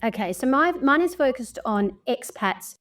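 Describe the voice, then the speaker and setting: A woman's voice: sits high, expressive, normal speed, a bit bright. Australian woman, podcast guest, talkative, laughs through her stories, easy conversational delivery. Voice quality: chatty, laughs often. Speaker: podcast guest, travel creator. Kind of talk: casual storytelling chat.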